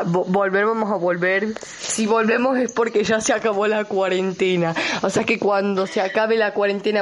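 A woman's voice: mid-range voice, slight background noise. A teenage girl with an Argentine accent, chatting casually as a radio show co-host. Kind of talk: casual chat among friends.

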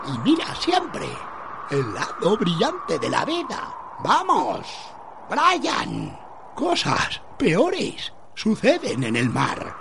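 A performer voicing a manic, cheerful condemned philosopher mocking death in a theatrical radio parody, exclaiming and goading with drawn-out phrases. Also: medium pitch, wildly swooping pitch, gravelly, noisy background, exaggerated voice. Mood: rousing, goading.

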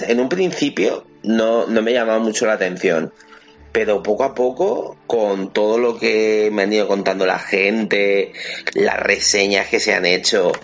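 Low-voiced spanish guy chatting. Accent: Spanish (Spain). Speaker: podcast co-host. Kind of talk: casual video game chat. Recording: muffled lo-fi podcast, music underneath.

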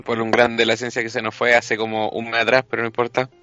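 A man with a Chilean accent making deadpan casual jokes as a co-host. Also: mid-range voice, fast talker, muffled recording, faint music behind.

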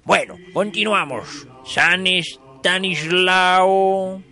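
Man acting elderly Colombian priest, jolly, folksy, comic radio sketch, very lively swooping intonation, bright high voice. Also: normal speed, heavily acted. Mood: playful, approving. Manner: folksy, chuckling, repeats phrases, exclaims.

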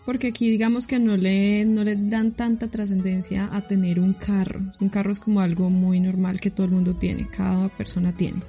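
A Colombian woman answering interview questions in a chatty, relaxed way, often laughing. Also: medium pitch, moderate pace, few pauses, faint music behind. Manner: chatty, laughing, many restarts. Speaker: guest living abroad.

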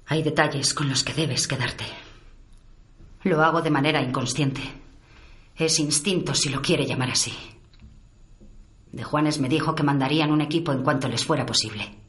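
A woman's voice: low-pitched, normal speed, pauses often, lightly acted. An actress playing a hardened female field agent in a radio drama, terse and matter-of-fact. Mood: cool recounting.

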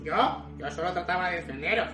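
A man's voice: high voice, fairly quick, close to an everyday voice. A man playing a naive, defensive bird-folk adventurer in a fantasy drama. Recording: roomy echo, faint music.

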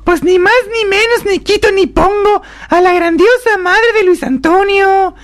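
A woman's voice: very high-pitched, a little slow. A performer voicing a chatty, gossipy woman in a radio soap parody, with theatrical, florid phrasing.

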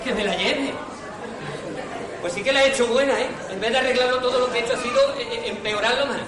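A man's voice: extremely high pitch, fast talker, a crowd in the background. A man playing a hapless, chatty servant, hesitant and bumbling, in an amateur radio farce. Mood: bewildered. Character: hapless, chatty servant Román posing as gentleman. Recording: echoey, noisy amateur recording.

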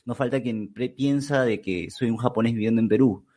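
A man from Peru joins a live stream as a guest. He talks in a relaxed, friendly way at an ordinary pitch. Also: fairly quick. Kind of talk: casual interview.

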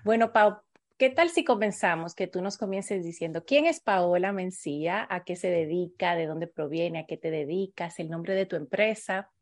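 A woman's voice: lowish voice, normal speed. A woman hosting an interview, asking several questions in a row and rephrasing them as she goes. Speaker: interviewer host. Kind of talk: casual interview conversation.

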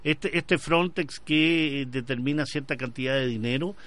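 A man's voice: mid-range voice, steady tone, normal speed, a bit bright. A Chilean man hosting a conversational radio interview, often repeating words as he searches for a phrase. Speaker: radio show host.

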